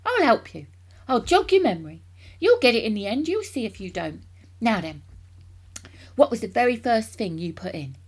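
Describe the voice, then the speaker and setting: A woman voicing a wildly excited, bossy father from a children's storybook, ranting about grand schemes in emphatic, impatient exclamations. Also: fairly high pitch, moderate pace, pauses often, breathy edge, pitched above the performer's own voice, exaggerated voice. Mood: eager, bossy.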